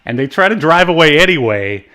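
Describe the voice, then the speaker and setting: A man co-hosting a casual film discussion, talking in a halting, rambling way. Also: a little high, animated, sharp tone, clear, resonant tone. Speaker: podcast co-host reviewing films.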